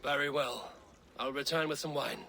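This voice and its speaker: A man playing a calm, decisive Viking warrior in a video game, speaking in a measured, purposeful way. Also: medium pitch, vocal fry. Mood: resolute. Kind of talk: video game character acting.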